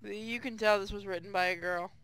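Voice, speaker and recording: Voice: medium pitch, steady tone, brisk, over music, rough recording. A co-streamer chatting casually over a game, blunt, crude and teasing, sometimes reading a line of dialogue. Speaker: co-streamer commenting and reading lines.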